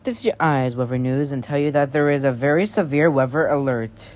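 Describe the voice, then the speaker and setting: A man's voice: mid-range voice, brisk, natural voice. A man playing a flustered, easily panicked emergency newsreader in an amateur alert parody, whose formal announcements lapse into rambling. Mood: formal, halting. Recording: noisy amateur home recording.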